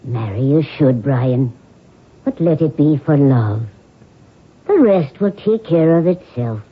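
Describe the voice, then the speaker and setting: A woman's voice: deep voice, animated, takes it slowly, husky, exaggerated voice. An actress playing a devoted, plain-spoken, motherly maidservant in a radio drama. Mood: affectionate. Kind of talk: old-time radio drama acting. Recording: narrow-band old radio broadcast.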